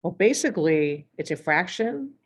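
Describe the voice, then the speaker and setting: Low-voiced woman teaching, voice going hoarse. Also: sing-song, moderate pace. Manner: clears throat often, talks through examples step by step. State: losing her voice. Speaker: math teacher. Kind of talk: recorded math lesson.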